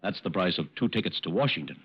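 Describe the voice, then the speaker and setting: An actor playing a methodical, businesslike federal agent, formal and politely probing, in 1940s radio style. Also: male voice, low voice, lively intonation, quick pace, very noisy. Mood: methodical, firm. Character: businesslike, methodical male FBI special agent. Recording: muffled old-time radio recording.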